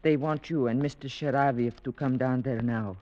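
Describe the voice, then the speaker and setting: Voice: mid-range voice, fairly even intonation, normal speed, natural voice. An actor playing a deferential household servant, speaking in a subdued way in old-time radio drama. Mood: subdued, anxious. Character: deferential household servant bearing grim news. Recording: dull vintage radio recording.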